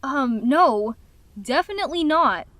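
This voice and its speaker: A woman voicing a sardonic, guarded young dragon with a dry, sarcastic delivery for an animated fan dub. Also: high voice, a little slow, dry recording.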